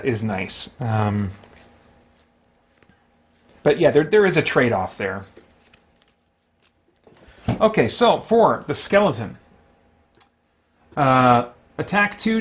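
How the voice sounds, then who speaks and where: A man hosting a solo tabletop game, casually narrating his moves and talking himself through rules and choices. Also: mid-range voice. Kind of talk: casual play-by-play, thinking aloud.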